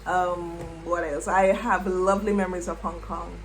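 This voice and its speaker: A middle-aged woman, a former English teacher, reflecting on her past in an unhurried way and repeating words as she thinks. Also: on the low side, little pitch movement, a little hiss or hum, poor audio. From Jamaica. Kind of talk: reflective personal storytelling.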